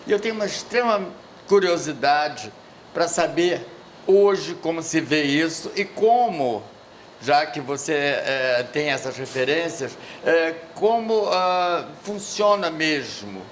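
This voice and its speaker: A man's voice: fairly high pitch, expressive, takes it slowly. Older man from the audience, rambling and hesitant question, slightly raised voice, faint room hiss. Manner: reminiscing, hesitant, searching for words. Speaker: audience member asking a question. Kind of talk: rambling spontaneous question at a panel. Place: lecture hall at a public event.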